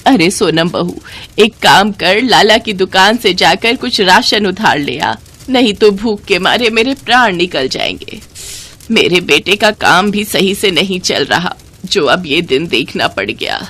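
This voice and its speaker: A woman's voice: lowish voice, lively intonation, unhurried, fairly bright tone, exaggerated voice. Woman acting a stingy older mother-in-law, bossy, scolding, complaining, village storybook matriarch. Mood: moaning, complaining.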